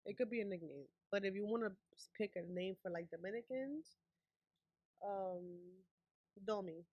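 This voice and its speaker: A woman's voice: mid-range voice, lively intonation, normal speed, no room sound, highs cut off. Young woman from puerto rico, chill slangy talk, dry close mic. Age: young adult. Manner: laid-back, slangy, lots of fillers, soft-spoken. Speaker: rapper guest. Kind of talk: casual interview chat.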